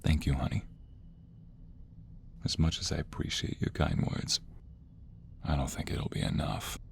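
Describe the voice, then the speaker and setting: Casting: man to voice protective mob lover for audio roleplay, deep and deliberate, emotionally earnest, faint noise behind. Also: steady tone, vocal fry, exaggerated voice. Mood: grim, tender. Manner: earnest and emotional, dramatic pauses. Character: protective, defiant mobster on the run, devoted lover. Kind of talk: audio roleplay romance acting.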